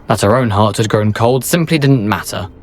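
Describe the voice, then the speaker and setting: A man's voice: on the low side, moderate pace, natural voice. A man voicing a wry, self-deprecating ghost of a rakish adventurer in a video game, with an eloquent, understated delivery. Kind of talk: video game character dialogue.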